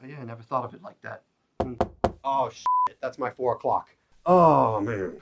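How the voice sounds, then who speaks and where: A man's voice: medium pitch, moderate pace, creaky, over loud music, lightly acted. A man playing a cocky, sleazy quack therapist in a comedy sketch, brash, crude and full of bragging. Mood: gruff, crude.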